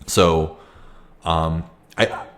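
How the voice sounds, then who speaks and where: Young guy with a real low voice, chatting slow and thoughtful on a livestream, lots of ums. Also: steady tone. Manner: reflective, halting with frequent ums and restarts. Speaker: livestream host. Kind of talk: casual livestream Q&A, reading comments.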